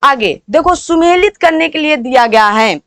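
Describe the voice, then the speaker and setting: A woman teaching an exam-preparation lesson, repeating points and prompting listeners with quick rhetorical questions. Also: high voice, expressive, fairly bright tone, no room sound, studio quality.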